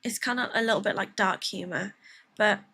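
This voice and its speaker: A woman's voice: mid-range voice, brisk, pushed and bright, husky. A woman from the Philippines streaming a game from home, chatting with viewers in a rambling, chatty way with laughing asides. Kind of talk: casual gameplay chat with viewers.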